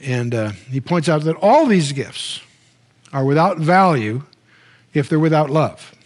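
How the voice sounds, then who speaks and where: A man's voice: on the high side, expressive, unhurried. An older man teaching a Bible lecture from a lectern, conversational and wry, with frequent restarts. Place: studio stage, standing at a lectern.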